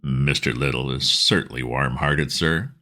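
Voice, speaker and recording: A man's voice: a bass voice, pitched below the performer's own voice, heavily acted. A man voicing a composed, deferential male valet who speaks in short, polite replies. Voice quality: calm, measured, polite.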